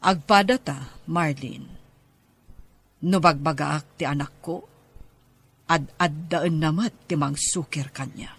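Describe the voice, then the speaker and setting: A man's voice: a little high, slow pace, gravelly, long pauses, fairly bright tone, husky, heavily acted. A middle-aged man voicing a father in an audio drama, earnestly lecturing his teenage son. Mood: worried. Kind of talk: amateur audio drama acting.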